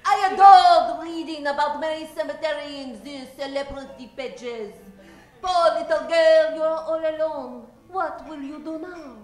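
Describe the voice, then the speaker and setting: A woman's voice: sits high, a little slow, a little mellow, soft background music. An actress playing a melodramatic, bossy Frenchwoman with a French accent and broken English in a comic old-time radio play. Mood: concerned, curious. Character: melodramatic French woman, bossy and dramatic club acquaintance. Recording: roomy, echoey stage recording.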